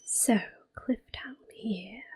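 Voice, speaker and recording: Whispering woman, soft ASMR gameplay. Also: fairly high pitch, very slow, creaky, slightly rough. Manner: soft whispering, gentle, hesitant with ums. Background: faint game music and controller clicks.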